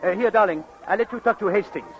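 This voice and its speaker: An actor with a French accent playing a suave, excitable, gallant playwright in 1940s radio comedy style. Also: male voice, high-pitched, fast, soft background music, lightly acted. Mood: charming. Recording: dull vintage radio recording.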